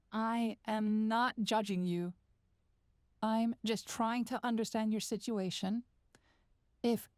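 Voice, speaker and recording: A woman playing a calm, quietly controlling therapist and hypnotist in a measured, coaxing audio roleplay with deliberate pauses. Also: fairly high pitch, dry recording, clean studio sound. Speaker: therapist character.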